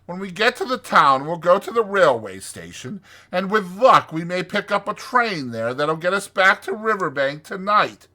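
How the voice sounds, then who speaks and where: A man voicing a bossy, exasperated male water rat for a storybook, speaking in a firm, sharp, emphatic way. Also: a little high, wildly swooping pitch, unhurried, hardly any pauses, breathy edge, voice pushed up, full character voice.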